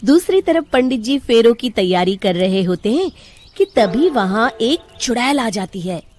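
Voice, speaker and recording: A woman's voice: on the high side, sing-song, a little slow, a little mellow, soft background music. A woman reading scripted narration for a cartoon folk tale.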